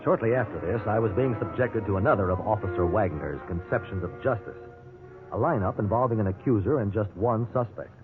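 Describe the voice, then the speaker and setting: A man's voice: on the low side, a little fast, soft background music, natural voice. An actor playing a wry, defiant man held as a suspect in a 1950s radio drama. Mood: wry narrating. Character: indignant, wrongly accused male prisoner, also narrating. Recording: tinny, noisy 1950s radio recording.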